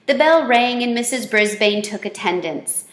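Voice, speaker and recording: A woman's voice: a little high, expressive, moderate pace. A woman reading a children's storybook aloud as the storyteller. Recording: echoey, roomy sound.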